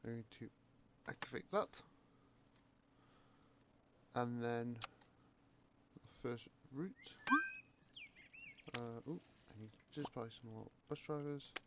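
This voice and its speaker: A man with a croaky voice from a cough, calmly commentating a game and thinking aloud with many pauses. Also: mid-range voice, highs cut off, heavy background noise. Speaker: gaming video creator. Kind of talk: relaxed gameplay commentary.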